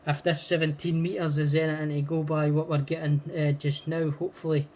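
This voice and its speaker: A man's voice: on the high side, little pitch movement, brisk, a little mellow, a little hiss or hum. A man with a Scottish accent chatting casually as an amateur radio operator, friendly and repeating phrases for emphasis. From Scotland. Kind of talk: casual radio contact chat.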